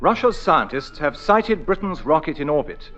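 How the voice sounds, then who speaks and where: An actor playing a solemn radio newsreader giving a formal, measured mock bulletin in a comedy. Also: male voice, on the high side, sing-song, fairly bright tone, soft background music. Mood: formal gravity. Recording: dull, clearly noisy radio recording with clicks.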